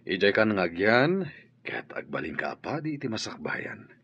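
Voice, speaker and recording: Guy doing a fatherly principal, husky low voice, swings up and down a lot, muffled. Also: very animated, moderate pace, slight background noise. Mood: kind, serious. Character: calm male school principal, fatherly. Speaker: school principal. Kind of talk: radio drama acting.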